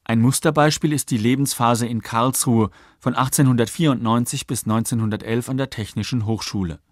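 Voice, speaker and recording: A man's mid-pitched voice, close and dry in the studio. He narrates a radio feature from a script, factual and measured. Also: fairly even intonation, dry recording. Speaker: narrator, documentary author. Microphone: close studio microphone.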